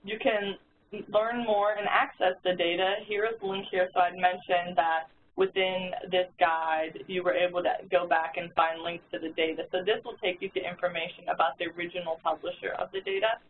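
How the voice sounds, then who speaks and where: A woman's voice: on the low side, fairly even intonation, normal speed. A woman giving a step-by-step walkthrough presentation on a conference call. She often restarts her sentences and uses fillers as she explains. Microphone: phone or conference line. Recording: tinny conference-call phone line with faint noise.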